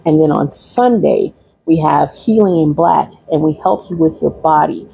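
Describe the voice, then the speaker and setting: A woman's voice: sits low, moderate pace, vocal fry, dark tone, husky, no room sound, muffled recording. A woman from Michigan, a holistic practitioner, wrapping up her livestream class in a rambling, repeating way and talking to viewers. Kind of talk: casual livestream class wrap-up.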